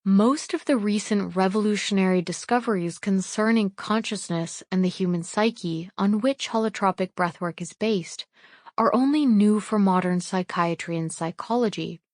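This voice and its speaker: A woman reading a book excerpt aloud in a formal, measured audiobook style. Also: medium pitch, darkish tone, no room sound, clean studio sound. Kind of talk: scripted read-aloud, audiobook-like narration.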